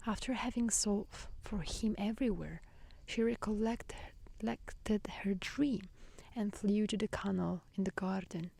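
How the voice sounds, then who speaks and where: A woman reading a fairy tale as soft-spoken ASMR narration, gentle and hushed, often close to a whisper. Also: mid-range voice, unhurried, vocal fry. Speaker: storyteller.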